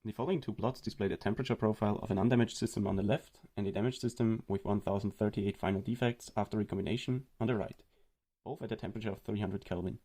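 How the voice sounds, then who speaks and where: A young man from Austria giving a read-aloud presentation of a student research project in a steady explanatory way. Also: on the low side.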